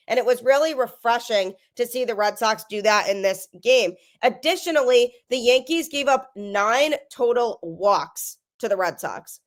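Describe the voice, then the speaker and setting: A young woman hosting a sports podcast, talking energetically with a stuffy voice from a cold. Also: fairly high pitch. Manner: energetic, emphatic. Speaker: podcast host.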